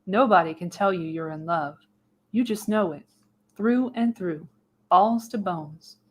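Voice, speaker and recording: A woman's voice: lowish voice, a little slow. Radio play role: older female seer, folksy, wry, knowing, acted by a woman at a table read.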